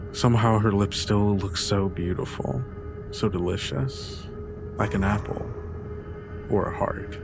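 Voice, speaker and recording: A man with a low voice narrates a tabletop story as game master. He is measured and descriptive, leaving dramatic pauses between phrases. Music plays clearly behind him. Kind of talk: atmospheric narration of a tabletop roleplay.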